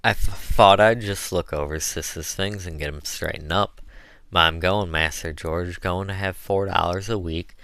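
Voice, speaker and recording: A man's voice: low-pitched, fairly even intonation, moderate pace, a bit bright, clean tone, dry recording. A man voicing an eager, good-humored woman, an enslaved cook, in folksy dialect for an audiobook. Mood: happy, bustling. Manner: folksy dialect with mispronounced words. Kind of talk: audiobook character voice.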